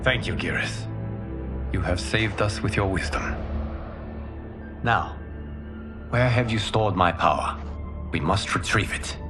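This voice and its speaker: A noble god from a fantasy game, wise and gentle. He speaks in a low voice with formal, earnest courtesy, over clear background music. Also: takes it slowly, pauses often. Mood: grateful, earnest.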